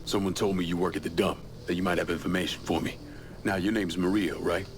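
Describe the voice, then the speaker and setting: An actor playing a tough, terse American criminal leader in a video game cutscene, blunt and low-key. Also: male voice, steady tone, fast talker. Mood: steady, probing. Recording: clear background noise.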